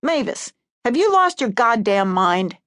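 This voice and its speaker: A gruff, impatient old husband barking through a door, blunt and exasperated, as a woman reads him. The voice sits fairly high and lifts further as he presses his point. It is a dry, close reading with no room sound. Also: big pitch swings, unhurried, a bit bright, no echo.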